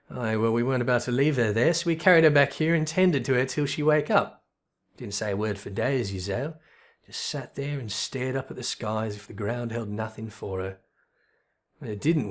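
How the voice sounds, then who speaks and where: A man voicing a gruff, wistful veteran in a fantasy game, reminiscing in a folksy way. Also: mid-range voice, lightly acted.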